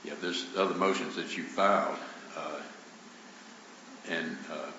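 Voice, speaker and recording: A man's voice: deep voice, steady tone, a little slow, gravelly, bright, forceful tone. A man presiding as judge over a hearing, speaking tersely, asking short pointed questions and often repeating words. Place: courtroom. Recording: roomy, dull courtroom recording with hiss.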